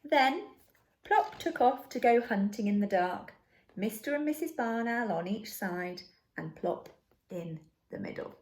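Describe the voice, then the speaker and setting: Female storybook narrator: unhurried, deliberate, expressive, with animated intonation for young listeners. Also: on the high side. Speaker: storybook reader. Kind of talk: children's story narration, read aloud.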